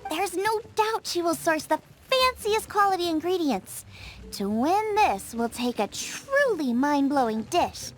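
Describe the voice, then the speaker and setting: A bold young girl chef with a high, lively voice and a breezy, confident, playful way of talking. Game music runs behind her. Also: measured pace. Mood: scheming. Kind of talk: video game dub acting. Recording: game soundtrack under the voice.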